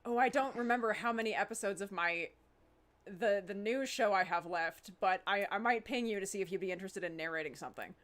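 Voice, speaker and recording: A woman's voice: mid-range voice, a little fast, fairly bright tone. A woman, a podcast creator, chatting casually. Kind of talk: casual chat.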